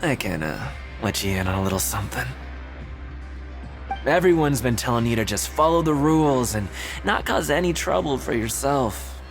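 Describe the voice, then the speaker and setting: A man's voice: mid-range voice, lively intonation, normal speed, over music, close to an everyday voice. A man voicing a sly, streetwise prisoner in a video game, teasing and matey as he hints at secrets. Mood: sly, conspiratorial. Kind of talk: video game cutscene voice acting.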